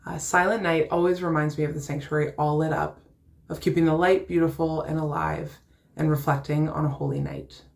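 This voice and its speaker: Young female church member, low voice, conversational delivery of a prepared personal reflection. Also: fairly even intonation, a little fast, vocal fry, a little mellow. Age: young adult.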